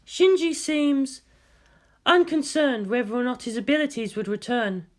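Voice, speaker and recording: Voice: high-pitched, unhurried, voice pushed up. A narrator voicing a cool, clinical female scientist with a dry, matter-of-fact manner. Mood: cool, measured.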